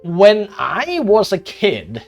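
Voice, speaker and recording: A man's voice: high voice, huge pitch swings, takes it slowly, vocal fry, soft background music. A man whose first language is Cantonese, chatting casually while streaming a strategy game, rambling with many restarts and frequent swearing.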